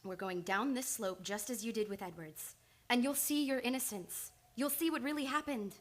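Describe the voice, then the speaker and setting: A woman's voice: on the high side, moderate pace, close to an everyday voice. A woman playing an earnest, insistent psychoanalyst in old time radio drama, urgent and probing. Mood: resolute.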